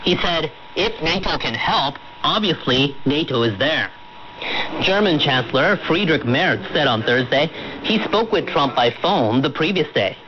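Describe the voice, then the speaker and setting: A man's voice: fairly high pitch, animated, a little slow. A man reading scripted news as a radio anchor. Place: radio studio, heard through shortwave reception. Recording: muffled shortwave radio broadcast with static.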